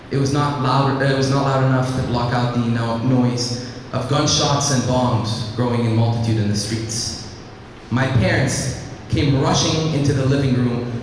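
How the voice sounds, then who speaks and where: A teenage boy from Iraq, raised in Lebanon, giving an earnest personal speech to an audience and telling his story at a measured pace. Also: mid-range voice, slight background noise. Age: teens. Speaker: student speaker. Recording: echoey hall, mic at a distance.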